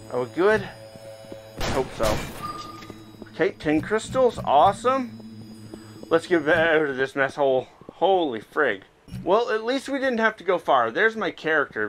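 Animated man commentating over game music. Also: a little high, vocal fry. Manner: excitable, reacting aloud while physically playing. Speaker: video game streamer. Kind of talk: casual gameplay commentary.

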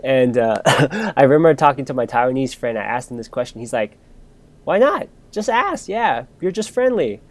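A man's voice: mid-range voice, big pitch swings, normal speed. Rambling young man telling a story. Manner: rambling, many fillers and restarts. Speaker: podcast co-host. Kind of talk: casual storytelling chat.

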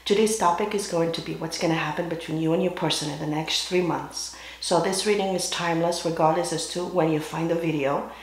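Woman, hoarse voice, tarot reading, throat-clearing, very echoey room. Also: fairly low pitch, steady tone. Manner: halting, clears throat and coughs often. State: hoarse, losing her voice. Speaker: tarot reader. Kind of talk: pick-a-card tarot reading. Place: indoors at a table with candles and crystals.

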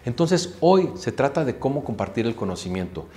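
A man's voice: mid-range voice, big pitch swings, moderate pace, warm tone. A man speaking for a company, giving earnest, emphatic opening remarks in an office room. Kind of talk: opening speech.

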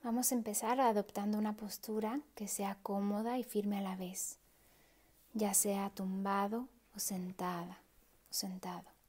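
A woman leading a guided meditation in a soft, hushed, breathy voice with pauses. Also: medium pitch, little pitch movement.

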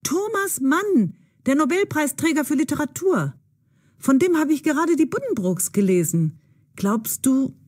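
A woman playing a curious, thoughtful young female amateur sleuth in an audio drama for language learners, speaking expressively and clearly. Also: high voice, sing-song, unhurried, darkish tone. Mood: excited discovery.

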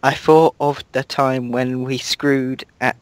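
A man's voice: mid-range voice, flat delivery, slow pace, clean tone, no echo. A man reading a fan story aloud in a mock-dramatic way, stumbling over words and laughing at the text.

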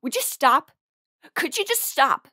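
A woman's voice: sits high, sing-song, measured pace, bright, forceful tone, dry recording, studio quality, exaggerated voice. A woman acting in an audio roleplay as a defensive, emotional musician rejecting her bandmate's love. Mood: angry, exasperated. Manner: emotional, exasperated, swearing. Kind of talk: audio roleplay drama monologue.

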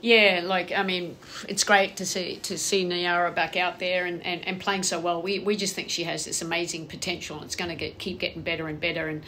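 A woman head coach giving measured postgame press answers on a table mic in a press room. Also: fairly low pitch, little pitch movement, bright, forceful tone, a little hiss or hum.

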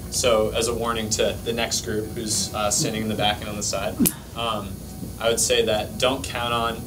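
A young man student presenter answering an economics question in a steady way, with some fillers. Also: lowish voice, normal speed. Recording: distant, noisy hall recording.

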